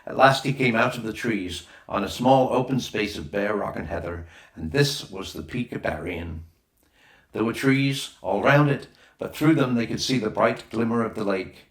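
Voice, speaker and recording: A man's voice: on the low side, moderate pace. A man reading a children's adventure story aloud as a scripted audiobook narration. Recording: roomy, echoing voice recording.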